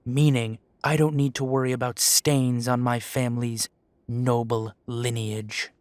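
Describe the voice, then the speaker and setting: A man's voice: mid-range voice, little pitch movement, measured pace, smooth, no echo, close to an everyday voice. An actor playing a defiant young male werewolf who answers the council with dry, mocking politeness. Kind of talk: audio drama acting.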